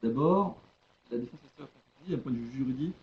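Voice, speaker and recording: A man giving an explanatory webinar presentation in a hesitant, halting way, often rephrasing, over a web conference connection. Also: medium pitch, lively intonation. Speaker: webinar presenter, legal expert. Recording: dull lo-fi web conference audio.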